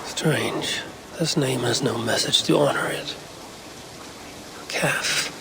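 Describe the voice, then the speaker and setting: An actor playing a brooding Viking clan leader in a video game, measured and solemn, as if murmuring to himself. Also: male voice, mid-range voice, vocal fry, noisy background. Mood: solemn, hushed. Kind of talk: video game cutscene acting.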